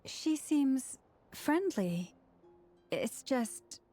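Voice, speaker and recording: Composed, formal priestess. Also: female voice, sits high, very animated, warm tone, soft background music, heavily acted. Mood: courteous. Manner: measured, formal wording. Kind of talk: video game voice acting.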